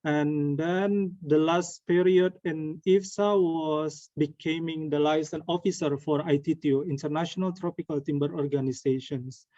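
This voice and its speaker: A young man from Indonesia giving an earnest online presentation over a video call, with pauses and restarts. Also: on the high side, steady tone, warm tone, no echo. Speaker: webinar presenter. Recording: dull lo-fi call audio.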